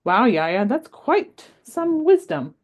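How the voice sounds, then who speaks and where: A woman's voice: big pitch swings, slow pace, dull audio, full character voice. A woman doing an eager little girl. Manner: high-pitched, eager questioning. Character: curious excited six-year-old girl. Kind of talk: read-aloud storytelling.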